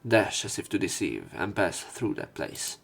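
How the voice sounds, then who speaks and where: Guy narrating a visual novel lets play, lowish gravelly voice, music underneath. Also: big pitch swings, normal speed.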